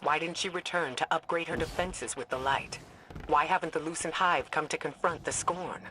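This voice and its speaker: An actress playing a stern, sceptical intelligence chief in a video game, clipped and exacting. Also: female voice, low-pitched, normal speed, background noise, natural voice.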